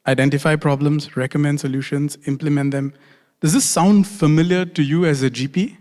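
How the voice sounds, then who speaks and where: A man from Singapore gives a friendly, self-deprecating conference talk as a doctor and consultant, slowing down to repeat key phrases. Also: fairly high pitch, steady tone, a little mellow. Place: large conference hall. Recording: reverberant conference hall.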